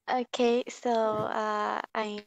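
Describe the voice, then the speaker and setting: A woman from Vietnam introducing herself on a video call, halting and full of fillers. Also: a little high, no room sound. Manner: halting, many fillers, laughs softly. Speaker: illustrator, contest winner.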